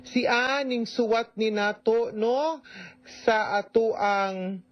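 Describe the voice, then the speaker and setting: A man's voice: high-pitched, slow. A man with a Cebuano Filipino accent giving conversational advice as a radio host, slipping into English and often tagging sentences with a questioning "no?". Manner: code-switches into English, tags sentences with "no?". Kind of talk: conversational radio advice, answering a listener's letter. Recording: dull lo-fi radio recording.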